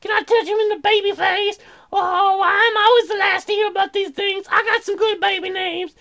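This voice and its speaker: Squeaky, goofy baby-loving townsperson. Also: male voice, fairly even intonation, moderate pace, vocal fry, pushed and bright, full character voice. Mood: gushing. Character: goofy, over-eager, childlike townsperson cooing over babies.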